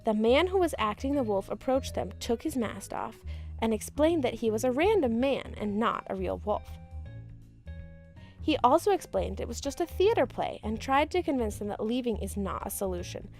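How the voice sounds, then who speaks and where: A woman's voice: a little high, sing-song, normal speed, darkish tone. A woman narrating a children's fairy tale as a storyteller, reading from a script. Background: music.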